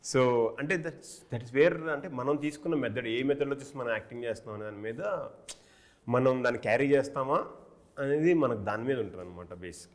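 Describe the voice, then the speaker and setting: Fast-talking male actor in interview. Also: mid-range voice. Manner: explanatory, switches into English phrases. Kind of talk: casual interview chat.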